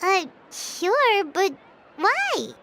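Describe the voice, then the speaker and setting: A woman voicing a small, excitable, squeaky fairy companion in an anime game. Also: extremely slow, background noise, full character voice. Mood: startled, puzzled. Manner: speaks of self in third person.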